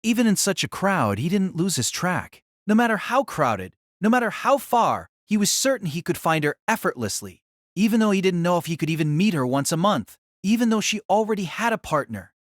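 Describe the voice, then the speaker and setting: A man narrating a novel in a solemn, theatrical style with deliberate phrasing. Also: sits high, sing-song, no room sound, studio quality. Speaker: audiobook narrator. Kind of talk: dramatic scripted novel narration.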